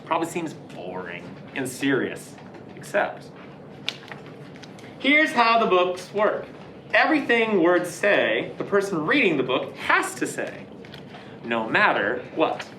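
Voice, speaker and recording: A woman's voice: deep voice, huge pitch swings, takes it slowly, fairly bright tone, echoey room, a little hiss or hum. A woman reading a children's picture book aloud, playing up mock outrage and dismay. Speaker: storybook reader.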